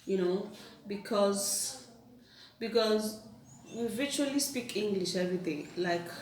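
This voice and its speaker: A woman from Nigeria chatting casually with her husband on their channel. She has a naturally loud voice that she keeps low, and she talks in restarts and fillers. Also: measured pace, far from the mic. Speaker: vlogger co-host. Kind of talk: casual couple chat. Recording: roomy echo, off-mic.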